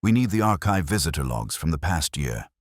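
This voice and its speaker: A man playing a grave, shrewd police inspector in a mystery story, measured and declarative, with a husky voice. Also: very deep voice, big pitch swings, no echo, studio quality, heavily acted. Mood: brooding, deliberate.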